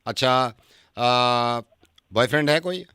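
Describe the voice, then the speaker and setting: A man's voice: mid-range voice, slow, sharp tone, clear, resonant tone, no echo, clean studio sound, pitched below the performer's own voice. A man playing a strict, nosy landlord's brother on a prank call, insistently repeating his house rules. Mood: stern. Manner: insistent, repetitive, laying down rules.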